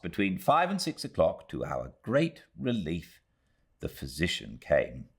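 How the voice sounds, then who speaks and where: A man with a British accent reading a classic novel aloud as an audiobook narrator, in a measured, expressive storytelling manner. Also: deep voice. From Britain.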